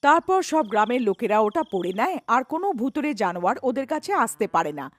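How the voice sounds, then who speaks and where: A woman narrating a scripted animated story as its storyteller. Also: fairly high pitch, fast.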